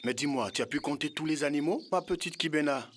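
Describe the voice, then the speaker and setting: An actor playing a kindly, teacherly old village elder in a cartoon dub. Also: male voice, fairly high pitch, fast talker, a little hiss or hum, lightly acted. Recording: loud music bed behind voice.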